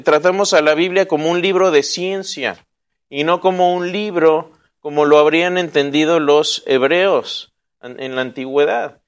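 A man, teaching a casual Bible class, joking and quizzing his listeners. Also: a little high. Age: 40s. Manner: joking and chatty, asks the audience questions.

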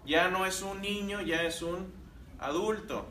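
A man's voice: high voice, a little slow, fairly bright tone, reverberant, recorded from a distance, a little hiss or hum. A man from Mexico teaching a Bible class, talking to the room and putting questions to his students. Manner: conversational, asks the class questions. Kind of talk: church class lecture.

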